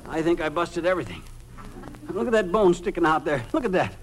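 A noisy vintage radio recording of a blustery, accident-prone American husband. His voice sits fairly high, and he grumbles and exclaims in folksy comic style. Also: sing-song, brisk. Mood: grumbling. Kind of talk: 1940s-50s American radio comedy acting.

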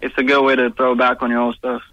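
Laid-back young man talking fast. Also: mid-range voice, completely flat delivery, sharp tone, no room sound, muffled recording. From Pakistan-born, raised in Virginia and Toronto area. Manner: laid-back, loose, heavy on "like" and "you know what I'm saying". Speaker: guest musician. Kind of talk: casual interview answers.

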